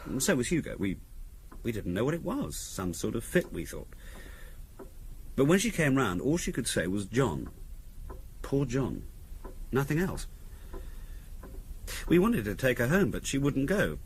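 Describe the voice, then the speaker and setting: An actor playing a wry, teasing middle-class English husband in a 1970s radio drama, conversational with deliberate pauses. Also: male voice, medium pitch, huge pitch swings, poor audio. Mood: grave reminiscing. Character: teasing middle-class husband, dryly morbid, provocatively theorising. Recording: faint hiss on 1970s radio recording.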